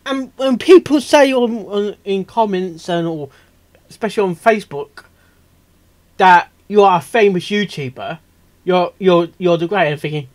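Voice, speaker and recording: A man hosting a reaction video, rambling casually and excitably, stammering and repeating himself as he goes. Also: high-pitched. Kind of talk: casual off-the-cuff reaction commentary.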